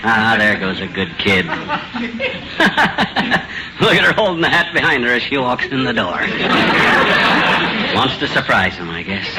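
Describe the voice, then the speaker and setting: A man's voice: mid-range voice, lively intonation, slow pace, long pauses, over a noisy crowd. A man playing a jovial, blustery middle-aged husband in old radio comedy, full of folksy slangy banter. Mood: fond, amused. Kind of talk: 1940s radio comedy acting. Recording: noisy old radio broadcast recording.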